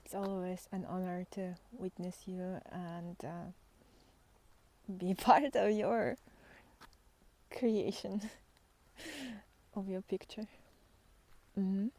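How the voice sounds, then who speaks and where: A woman giving an intimate, spontaneous spiritual talk as a guide, halting and tender, sometimes softening toward a whisper. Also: mid-range voice, steady tone. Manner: tender, halting, with long pauses, sighs and soft laughs. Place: outdoors in nature, walking by foggy fields.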